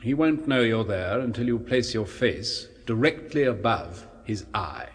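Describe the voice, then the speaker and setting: A man's voice: lowish voice, expressive, measured pace, long reverb tail. An actor playing an apprehensive doctor in a 1970s radio drama, soothing and clinical as he explains, with some hesitation. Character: apprehensive male doctor, clinical yet solicitous experimenter.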